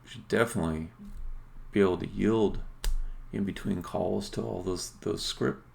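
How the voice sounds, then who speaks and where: A middle-aged man with a low, very creaky, gravelly voice talks through a live-coding stream. He rambles in an opinionated way, halting and then leaning hard on his point. A light layer of noise sits behind him. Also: darkish tone. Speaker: indie game developer, streamer. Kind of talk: casual live-coding commentary.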